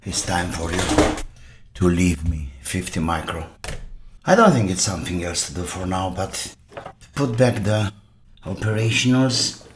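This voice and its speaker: Low-voiced man muttering slowly at a workbench. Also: darkish tone, slight background noise. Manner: muttering to himself in short fragments with pauses. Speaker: electronics repair video maker. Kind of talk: thinking aloud while repairing electronics.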